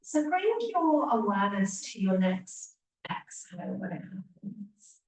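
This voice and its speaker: A woman teacher leading a guided relaxation meditation, speaking gently with long pauses and hushed phrase endings. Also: medium pitch, sing-song. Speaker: yoga nidra teacher. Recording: echoey room, distant mic, faint hiss.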